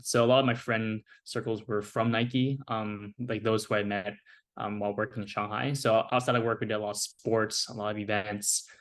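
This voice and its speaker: A young man with an American accent and a fairly low voice talks as a podcast guest. His delivery is casual and easygoing, scattered with fillers as he thinks aloud. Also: normal speed. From United States. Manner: relaxed, filler-heavy speech with many "like" and "you know". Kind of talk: casual conversational interview.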